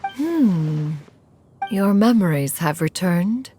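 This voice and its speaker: An actress playing a proud, dignified immortal sage in a fantasy video game, with formal, haughty phrasing. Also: female voice, lowish voice, animated, slow, warm tone. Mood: solemn, gentle. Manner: formal, haughty, calls herself "one". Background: background music.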